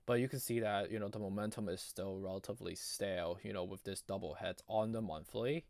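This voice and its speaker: Male video host, lowish pitch, halting off-the-cuff analysis, voice tired and giving out, dry recording. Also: fairly even intonation, darkish tone, no room sound. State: losing his voice, often clearing throat. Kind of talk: casual improvised technical chart commentary.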